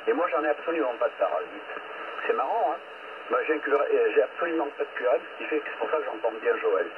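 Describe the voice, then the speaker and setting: A man talks casually over CB radio, passing turns as an operator does. His voice comes through a narrow, tinny band at middling pitch. Clear static runs through it. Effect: heard over two-way radio.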